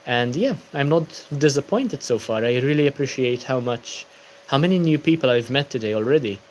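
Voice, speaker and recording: A man's voice: mid-range voice, lively intonation, normal speed, a little mellow, a little hiss or hum, poor audio. A tired young man hosting a casual book-channel livestream, chatting and answering viewer comments.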